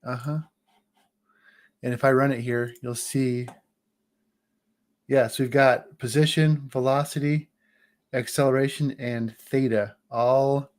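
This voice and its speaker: A man in his forties chatting casually on a livestream as he walks through his engineering software, joking and restarting his sentences. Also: mid-range voice, fairly even intonation, slow, pauses often. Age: 40s.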